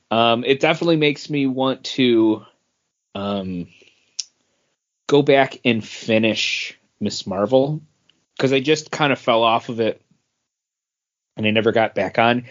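Unhurried man, slightly dull lo-fi sound. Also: medium pitch. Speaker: podcast co-host. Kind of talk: casual chat about entertainment news. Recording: dull, lo-fi podcast audio.

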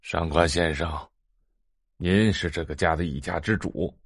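A pompous old male physician in an audio drama. He is grave and condescending, with a slightly low voice and a very dry recording. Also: steady tone, unhurried, breathy edge, dry recording, exaggerated voice. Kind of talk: multi-voice audio drama acting.

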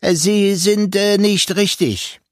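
A man voicing a fussy, mocking little bearded man with a sharp, melodious voice in a storybook reading. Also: sits high, takes it slowly, dry recording, studio quality, pitched above the performer's own voice. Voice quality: sharp, sing-song. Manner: words spaced apart, faintly mocking.

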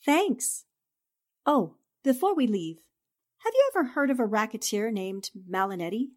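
A woman acts out a determined lady reporter in a forties radio play. Her fairly high voice is poised and polite, with a probing edge. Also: sing-song, moderate pace, darkish tone. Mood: polite, concerned. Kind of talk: 1940s radio drama acting.

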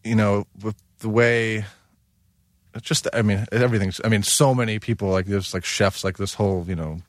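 Male chef, lower register, rambling casual talker, dry close mic. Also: fairly even intonation, normal speed, dry recording. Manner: rambling, full of "like" and "you know". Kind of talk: casual chat.

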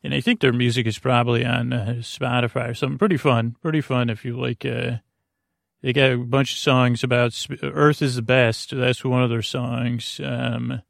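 Male bedtime podcast host: heavy vocal creak, wandering halting delivery full of tangents, very dry recording. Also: mid-range voice, flat delivery, no echo. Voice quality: creaky, gravelly. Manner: meandering, halting, frequent self-interruptions and trailing tangents. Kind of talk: rambling bedtime podcast monologue.

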